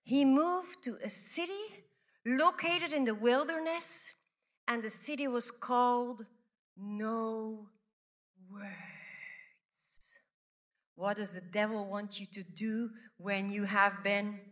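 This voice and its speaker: A woman from Belgium preaching a sermon, repeating phrases and swinging from loud declarations to hushed whispers. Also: fairly high pitch. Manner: slow, deliberate delivery with repeated phrases.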